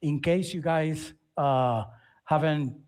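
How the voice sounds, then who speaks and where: Halting middle-aged man. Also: on the high side, a little mellow. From Dominican Republic. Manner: halting, repeats words and phrases. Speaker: conference speaker, software developer. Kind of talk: informal tech presentation.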